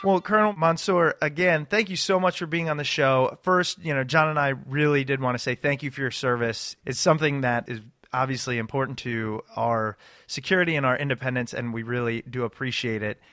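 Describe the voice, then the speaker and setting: A male podcast host talks casually and loosely as he introduces his guest. His voice sits at a middle pitch. The recording is close and dry, with no room echo. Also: fairly even intonation, brisk, fairly bright tone.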